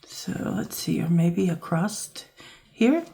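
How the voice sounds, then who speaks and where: Woman, lowish voice, scratchy and hoarse from a cold, relaxed crafting chatter, faint background noise. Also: slow pace. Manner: relaxed, thinking aloud while working with her hands. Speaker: craft channel host. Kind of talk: casual crafting tutorial narration.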